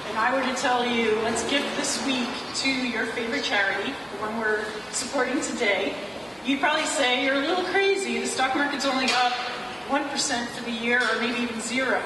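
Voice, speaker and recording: A woman's voice: fairly high pitch, brisk. A woman with a slight Dutch-sounding accent, giving a chatty, joking financial presentation to an audience. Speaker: wealth advisor presenting. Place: large echoey venue, a car showroom. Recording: echoey hall from far off, noisy.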